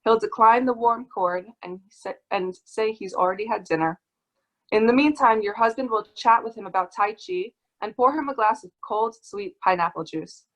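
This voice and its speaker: A woman guest reader presenting literary work on an online call in a measured storytelling way. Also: fairly high pitch, low-quality recording. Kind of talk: literary reading aloud.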